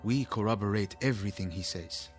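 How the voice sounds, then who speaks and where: An actor playing a principled revolutionary comrade in a radio drama, stern, questioning, clipped and firm. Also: male voice, fairly low pitch, a little fast, natural voice. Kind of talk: old-time radio drama acting. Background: faint music.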